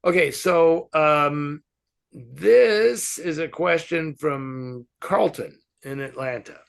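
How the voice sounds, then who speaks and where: A man hosting a casual question-and-answer film discussion, talking in an animated, halting way as he thinks aloud. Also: fairly high pitch, gravelly. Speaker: host answering fan questions.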